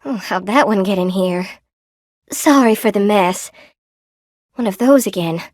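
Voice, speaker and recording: A woman voicing a friendly, gentle spider-woman seamstress in a video game, sweet and polite, eager to please and a little lonely. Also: medium pitch, unhurried, no room sound, clean studio sound, lightly acted. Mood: flustered, apologetic. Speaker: game character, shopkeeper. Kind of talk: video game voice acting, short character barks.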